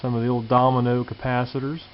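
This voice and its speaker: A man with an average-pitched voice talks casually, like a hobbyist showing his project. His manner is folksy, thinking out loud as he goes. The sound is dull and lo-fi, with a light hiss behind him, as from a handheld camera in a workshop. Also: flat delivery, quick pace. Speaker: hobbyist presenter. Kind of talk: casual hands-on show-and-tell commentary. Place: indoor home workshop or garage. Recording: dull lo-fi camcorder audio with hiss.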